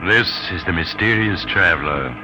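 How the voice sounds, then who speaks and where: Man playing a very deep, sinister radio storyteller. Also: close to an everyday voice. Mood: ominous, inviting. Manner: theatrical, deliberate, ominously inviting. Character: mysterious male storyteller, genial yet sinister, savouring the chills. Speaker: narrator host of a suspense anthology. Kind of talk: 1940s radio mystery narration. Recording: vintage radio, muffled, loud music behind.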